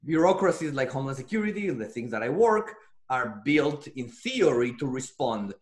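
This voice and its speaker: Mexican-accented man, measured and explanatory. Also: medium pitch, big pitch swings. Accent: Mexican Spanish. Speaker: interview guest, defense futurist. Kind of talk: thoughtful conversational answers.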